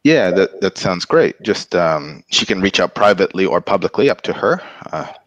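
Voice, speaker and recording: A man in his forties with a very deep, low voice speaks on a video call. He sounds close and dry, with no room echo. He explains things in a measured way, pausing to think. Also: very animated. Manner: measured, thinking aloud with pauses. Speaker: community organizer. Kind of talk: casual video call discussion. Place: small room at home. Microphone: video call, laptop mic.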